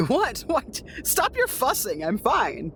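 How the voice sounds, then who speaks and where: A woman's voice: on the high side, huge pitch swings, slow pace, slight background noise. A woman playing a tabletop game, improvising in character and chatting casually. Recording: music behind the voice. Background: music.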